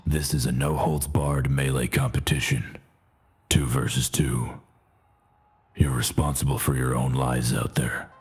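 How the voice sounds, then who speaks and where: Super deep husky old pig-man, gruff arena boss in a radio drama, menacing. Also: flat delivery, measured pace, full character voice. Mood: gruff, stern. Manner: blunt, menacing. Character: grizzled old half-man, half-warthog arena gatekeeper, gruff.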